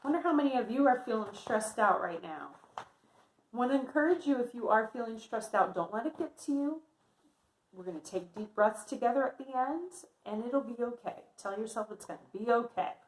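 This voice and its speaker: A woman's voice: a little high, expressive, normal speed. A woman teacher giving a read-aloud lesson to young pupils, speaking simply and encouragingly with frequent questions. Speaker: teacher reading aloud to children. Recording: roomy, echoey indoor sound.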